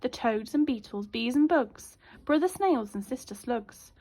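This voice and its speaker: A woman teacher reading a children's storybook aloud in an expressive, child-directed way. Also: a little high, a little slow. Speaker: primary school teacher.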